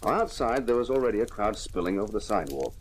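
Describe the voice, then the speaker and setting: A man's voice: on the low side, sing-song, quick pace. An actor playing a weary, wisecracking male television star with a flippant, slangy manner in an old-time radio detective drama. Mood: dry narration. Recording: old radio recording with faint hiss.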